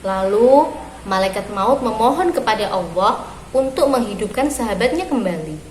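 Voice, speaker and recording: A woman reading a story aloud for young children, phrasing expressively and deliberately with pauses. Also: fairly high pitch, animated. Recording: roomy echo with clear background noise.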